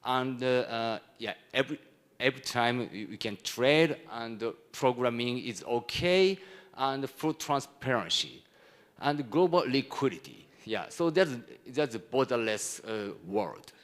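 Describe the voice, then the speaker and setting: Japanese man giving a halting conference presentation. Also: medium pitch, animated. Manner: halting, broken phrasing in non-native English. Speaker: conference speaker, hedge fund executive.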